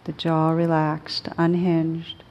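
A woman teaching a guided meditation in a gentle, soothing, unhurried manner with long pauses between phrases. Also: low-pitched, monotone, dull audio.

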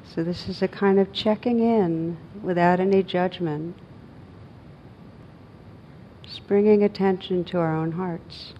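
Low, even-voiced woman guiding meditation. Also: highs cut off, a little hiss or hum. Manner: gentle, unhurried, with long pauses. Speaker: meditation teacher. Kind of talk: guided meditation.

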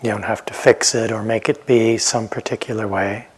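A man teaching a guided meditation in a gentle, unhurried manner, leaving long pauses between phrases. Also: lowish voice, fairly even intonation, breathy edge, rough recording.

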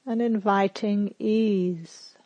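Female meditation guide: very unhurried, calm and gentle, mid-pitched, long pauses, dry close sound. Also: no echo. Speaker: meditation teacher.

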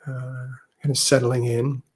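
Slow, even-toned man guiding a meditation. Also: medium pitch, slightly rough. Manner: gentle, unhurried, with long pauses. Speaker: meditation teacher. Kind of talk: guided meditation.

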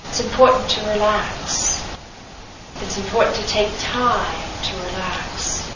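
A woman leading a guided meditation as a teacher, speaking gently and unhurriedly in short phrases with pauses between them. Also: medium pitch. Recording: very echoey room, distant mic, dull, noisy.

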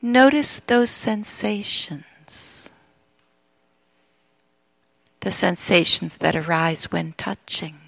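A woman teaching meditation, giving gentle, unhurried guided instructions with long pauses between phrases. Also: on the low side, animated, creaky, dry recording.

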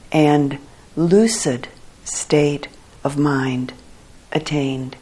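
A woman leading a guided meditation for a group as their teacher, speaking in a calm, measured way with long pauses. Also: deep voice, husky, poor audio.

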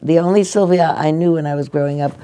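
Soft-voiced older woman teacher, mellow. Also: low voice, fairly even intonation. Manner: gentle, halting, restarts often. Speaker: meditation teacher. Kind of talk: dharma talk with audience questions. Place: meditation hall.

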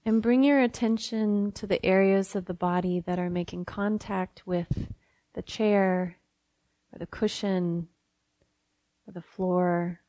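Female meditation guide: gentle, unhurried, flat-pitched delivery in a dry, close sound. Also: dry recording. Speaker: meditation teacher.

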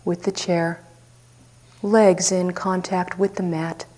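Woman guiding a meditation, real slow, mellow low-ish voice. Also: level tone. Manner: slow, soft and unhurried with long pauses. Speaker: meditation teacher. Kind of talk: guided meditation.

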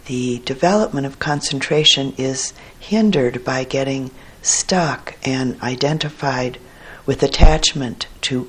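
A woman teaching a guided meditation in a gentle, measured manner with pauses between short phrases and a low, husky voice. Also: poor audio.

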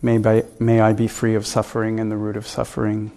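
A man leading a guided meditation as a teacher, giving calm, gentle instructions with unhurried pauses. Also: lowish voice.

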